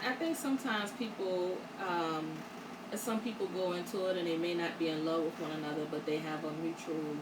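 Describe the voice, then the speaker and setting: A woman hosting a casual panel discussion, reflective and steady, often affirming the others. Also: lowish voice. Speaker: host. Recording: echoey room, distant mic, audible noise.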